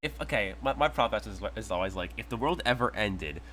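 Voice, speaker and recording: A young man co-hosting a casual podcast chat, quick and joking with dark humor and swearing. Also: on the low side, expressive, slight background noise. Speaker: podcast co-host.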